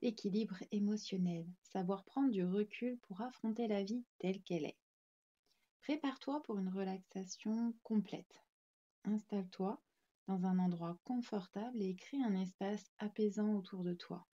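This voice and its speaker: Mellow-voiced woman guiding a calm meditation. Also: medium pitch, a little slow, highs cut off. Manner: calm, soothing, instructing gently in short phrases. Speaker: yoga nidra meditation guide. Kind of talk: guided relaxation meditation narration.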